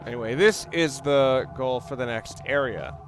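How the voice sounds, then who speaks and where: A man with a slightly high voice gives casual let's play commentary. He rambles analytically and wryly while game music plays clearly behind him. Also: moderate pace. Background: game music and sound.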